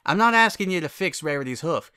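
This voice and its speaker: A firm, caring country mare played by a man from a cartoon pony story. The delivery is folksy and blunt, pitched a little above the reader's own. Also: animated, fast talker, pushed and bright, smooth. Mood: brisk. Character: plain-spoken, practical country farm mare, caring and firm.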